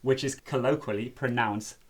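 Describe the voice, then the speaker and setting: A man's voice: mid-range voice, normal speed. A South African man whose first language is Afrikaans, co-hosting a casual chat and playfully explaining a folk song's history with many fillers. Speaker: co-host, musician.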